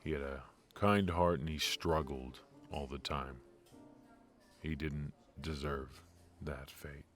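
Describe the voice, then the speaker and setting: Casting: male actor as brooding noir mafia boss, extremely low voice, weary and confiding, unhurried delivery for intimate roleplay audio. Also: steady tone, faint music behind. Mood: mournful. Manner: measured, weary, confiding, with heavy pauses.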